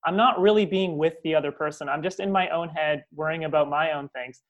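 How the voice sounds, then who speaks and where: A man's voice: a little high, brisk, highs cut off. A man speaking as an interview guest, explaining ideas in a coaching tone with frequent restarts and tag questions. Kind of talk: casual interview conversation.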